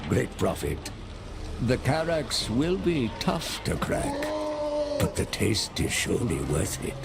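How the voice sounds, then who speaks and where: An actor playing a servile, scheming advisor, giving a solemn, coaxing briefing in a game. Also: male voice, mid-range voice, background noise. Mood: coaxing counsel. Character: servile, scheming male advisor to an ogre lord.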